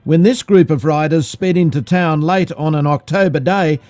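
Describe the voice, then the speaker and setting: A man's voice: on the high side, moderate pace. A man with an Australian accent reading scripted narration in the style of an old cinema newsreel. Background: music.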